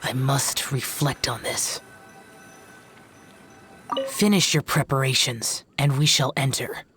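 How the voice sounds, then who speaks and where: A woman's voice: very deep voice, fairly even intonation, takes it slowly, fairly bright tone, exaggerated voice. A woman voicing a stern, aloof young male demon-slaying warrior, speaking in a terse, formal, old-fashioned way in a game cutscene. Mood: grave. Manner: formal, terse, archaic phrasing. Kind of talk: video game cutscene voice acting. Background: game music.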